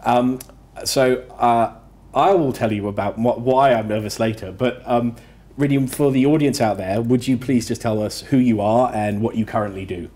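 A man's voice: medium pitch, normal speed, warm tone. Polite, eager male interview host. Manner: polite, eager, a little hesitant with fillers. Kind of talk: hosted interview. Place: office room with city view window.

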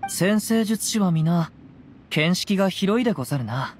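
A man voicing a gentle, reflective young wandering samurai in a video game, speaking calmly and poetically in archaic phrasing. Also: sits high, normal speed, a little hiss or hum. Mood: calm, wistful. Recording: music behind the voice.

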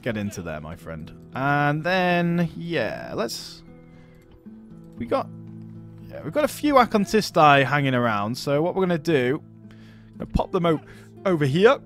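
Guy narrating his strategy game playthrough, bouncy animated voice, chuckles a lot, quiet game music under it. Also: fairly high pitch, measured pace, pauses often. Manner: chatty, laughs often, talks to units as "my friend". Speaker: gaming YouTuber. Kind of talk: casual let's-play commentary.

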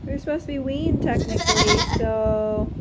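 A woman farmer in a barn talking to her goats in soft, cooing baby talk, filmed on a handheld phone. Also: sits high, normal speed, very noisy. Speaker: farmer vlogger. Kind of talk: casual talk to animals. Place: indoor barn goat pen.

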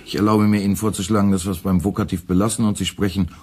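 A man playing a young radio editor in a radio play, formally polite, meticulous and quietly needling, with a husky voice. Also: sits low, flat delivery. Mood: pedantic, formally polite. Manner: formal, meticulous, deliberately enunciated.

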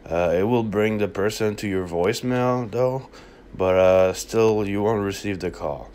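Slow, low-voiced man. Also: darkish tone, clean tone. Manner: halting, hesitant delivery. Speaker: app tutorial presenter. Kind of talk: casual unscripted screen-recording walkthrough.

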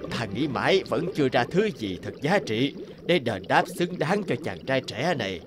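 A man's voice: medium pitch, very animated, moderate pace, natural voice. A man voicing a benevolent old sea king in a cartoon, stately and magnanimous. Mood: gracious, stately. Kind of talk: cartoon dialogue.

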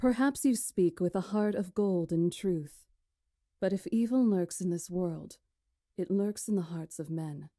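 A woman's voice: on the low side, measured pace, no room sound, studio quality. A woman voicing a composed, authoritative witch in a visual novel, with formal, stately delivery. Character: composed, authoritative witch presiding over negotiations. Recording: loud music over the voice.

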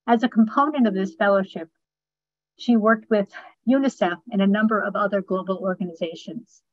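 An older woman, in her sixties or beyond, moderating a panel over a video call with measured, deliberate phrasing. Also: medium pitch, dry recording. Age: 60s or older. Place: office with bookshelves, indoors. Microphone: computer mic on video call. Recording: dull, band-limited video call audio.

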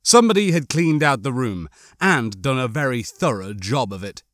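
A man's voice: mid-range voice, expressive, normal speed, fairly bright tone, slight background noise. A man narrating a horror novel as an audiobook, reading a dramatic scripted story.

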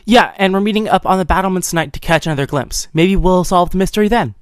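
A woman with a slightly low voice takes part in a tabletop game. She talks casually about rolls and what her character does. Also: fast talker, clear, resonant tone, dry recording, studio quality. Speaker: player. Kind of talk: casual tabletop role-play.

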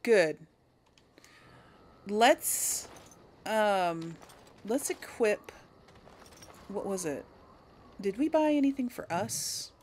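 A woman's voice: medium pitch, lively intonation, very slow, pauses often, darkish tone, a little hiss or hum. A woman hosting a gaming video, giving casual, chatty and rambling let's play commentary.

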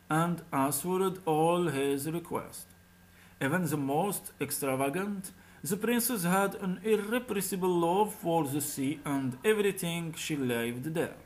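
Slow-paced man reading a folk tale. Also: on the high side, poor audio. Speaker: storyteller. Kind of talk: scripted folk tale narration.

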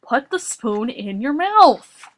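A woman voicing an exasperated, bossy male storybook caretaker who fusses, scolds and often shouts. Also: fairly high pitch, big pitch swings, takes it slowly.

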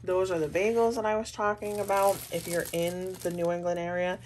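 A woman's voice: fairly low pitch, fairly even intonation, brisk, darkish tone, poor audio. A young American woman narrating a casual vlog in a chatty, rambling way. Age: young adult. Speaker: vlogger. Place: indoors at home, kitchen table. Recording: clear background noise, handheld home recording.

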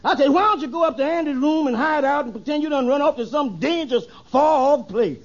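An actor playing a brash, shady, inept lawyer in a 1950s radio sitcom, telling stories with cocksure briskness. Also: male voice, extremely high pitch. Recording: dull old radio recording.

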